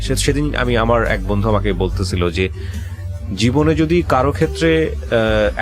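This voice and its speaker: Fast-talking Bangladeshi man hosting, music behind. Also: on the low side. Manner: insistent, questioning, sermonizing; mixes English phrases into speech. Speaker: radio show host. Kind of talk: advising a guest on a life-story show.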